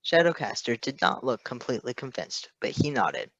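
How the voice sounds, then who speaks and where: A man reading fiction aloud as an expressive narrator. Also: medium pitch, moderate pace, muffled recording. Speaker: fan fiction reader.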